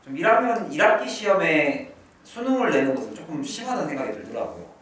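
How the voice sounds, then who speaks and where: A middle-aged male English teacher giving an exam-prep lecture to high school students, emphatic and lively, dropping to low asides. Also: quick pace, slight background noise. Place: academy classroom. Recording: echoey classroom from a distance.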